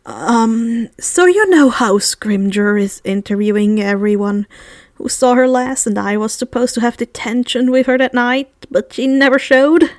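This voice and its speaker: Woman as a good-natured, high-voiced teenage boy. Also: a little slow, no echo, clean studio sound, natural voice. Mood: hesitant. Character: good-natured, laughing teenage schoolboy hero.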